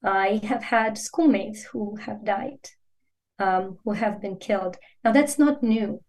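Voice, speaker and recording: A woman's voice: mid-range voice, slow pace, darkish tone. A woman from Ukraine, a native Ukrainian speaker, talking earnestly and emphatically as a guest in a podcast interview, with a coaching tone.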